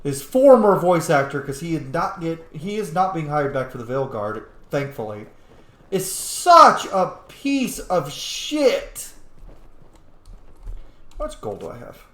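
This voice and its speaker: Animated, chatty game streamer, echoey room. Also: mid-range voice, slow pace. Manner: chatty, informal, opinionated. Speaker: game streamer playing and commenting. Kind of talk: casual gameplay commentary.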